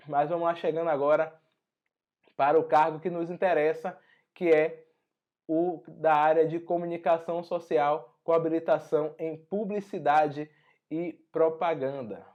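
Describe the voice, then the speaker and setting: A hoarse man with a Brazilian Portuguese accent, teaching an online exam-prep lesson in a conversational way full of tag questions. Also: fairly high pitch, fairly even intonation, moderate pace, a little mellow, lo-fi sound. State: hoarse after a flu. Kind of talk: online lesson walking through an exam notice.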